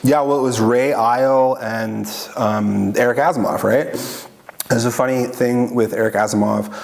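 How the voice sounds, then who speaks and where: A man's voice: mid-range voice, vocal fry. A man in an oral history interview, talking casually and rambling with many fillers and restarts. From Washington state.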